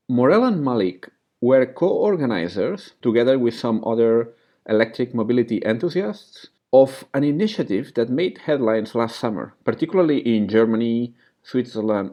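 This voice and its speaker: A male podcast host with a mid-pitched voice who reads a careful introduction and then questions his guests. The sound is slightly dull and lo-fi. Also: warm tone. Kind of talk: scripted introduction then interview.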